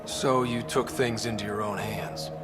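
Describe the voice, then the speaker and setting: An actor playing an earnest, wry young leader of space explorers in a video game cutscene, speaking calmly. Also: male voice, on the low side, unhurried, over music, lightly acted. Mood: dry, probing. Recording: long reverberant hall echo.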